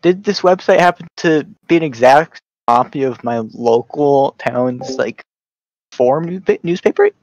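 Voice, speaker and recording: A young man chatting casually in short, hesitant replies with halting delivery. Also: mid-range voice, darkish tone, dull audio, a little hiss or hum. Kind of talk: casual chat.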